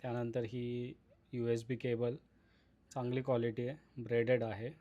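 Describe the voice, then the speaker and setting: Man, even-toned, dull recording. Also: mid-range voice, moderate pace. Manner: explanatory, addresses viewers as friends. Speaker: vlogger presenting a product unboxing. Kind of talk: casual unboxing vlog commentary.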